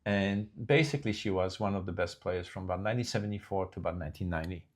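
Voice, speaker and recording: An older man with a very low, deep voice. He talks in a halting, unhurried way, full of restarts and fillers, in a relaxed news chat. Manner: slow, halting delivery with many restarts. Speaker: co-host. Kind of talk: casual chat.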